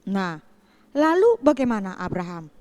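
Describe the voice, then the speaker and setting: A woman telling a Bible story to children as a Sunday school teacher, in an animated way with rhetorical questions and repetition. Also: mid-range voice, a little slow.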